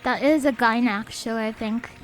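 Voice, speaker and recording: A young woman chatting casually on a game stream. She is a little sniffly, speaks softly, and reads out chat messages. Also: a little high, fairly even intonation, moderate pace. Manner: soft-spoken, reads chat aloud. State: sniffly, a bit stuffed up. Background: faint game music.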